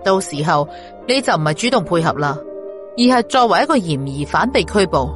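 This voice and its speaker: A man's voice: high voice, sing-song, brisk, music in the background. A woman voicing a shrewd, insistent male police interrogator in an audiobook. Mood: pressing, probing. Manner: insistent, probing. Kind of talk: audiobook character voice.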